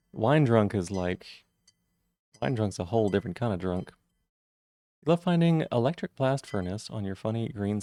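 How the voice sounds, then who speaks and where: A man streaming live, chatting casually with viewers and greeting them by name, with a rough voice today. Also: mellow, dry recording. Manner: friendly, greets chat members by name, jumps up in pitch on greetings.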